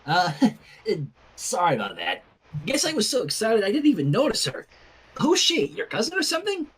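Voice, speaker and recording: A narrator voicing a brash, excitable teenage athletic girl with gushing, slangy speech in a fan fiction reading. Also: mid-range voice, normal speed, breathy edge, exaggerated voice. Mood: sheepish, casual. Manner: gushing and exclamatory, casual slang.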